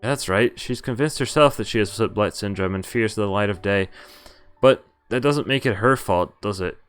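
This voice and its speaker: Dutch man reading a game aloud, lowish voice. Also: normal speed, smooth. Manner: stumbles over words while reading, comments to himself. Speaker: game streamer. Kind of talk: reading a visual novel aloud with casual live reactions.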